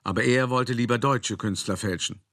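An actor playing a calculating, urbane male art dealer who recounts his past deliberately and confidingly in a radio play monologue. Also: mid-range voice, a bit bright, dry recording, studio quality. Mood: reflective. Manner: deliberate, confiding, addressing the listener directly. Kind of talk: first-person dramatic monologue in a German radio play.

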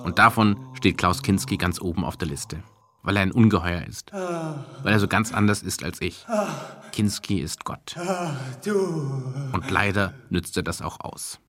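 A man narrating a radio feature in measured, short statements. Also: low voice, expressive, a bit bright. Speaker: interviewer narrating.

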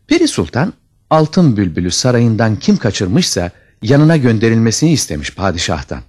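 A man's voice: fairly low pitch, big pitch swings, moderate pace, a little mellow. A man narrating a scripted fairy tale as a storyteller, with dramatic expression.

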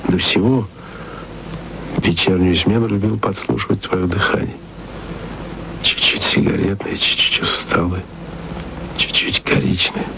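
An actor playing a lonely, wistful male radio archivist who speaks to an absent woman in a hushed, confiding way. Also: deep voice, fairly even intonation, measured pace, vocal fry, pauses often. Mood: tender. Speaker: lead in a radio play. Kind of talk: intimate radio drama monologue. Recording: noisy studio recording.

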